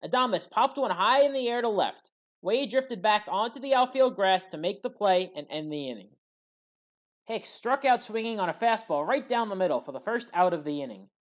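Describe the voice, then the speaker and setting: A woman reading scripted play-by-play commentary for a sports highlights recap in a matter-of-fact way that lifts on key moments. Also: expressive, normal speed.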